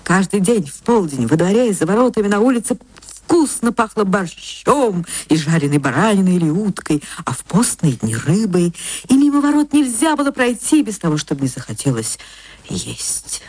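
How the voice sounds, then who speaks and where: A woman actress narrating a literary story for radio in a measured, theatrical storytelling manner. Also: lowish voice, breathy edge, dry recording.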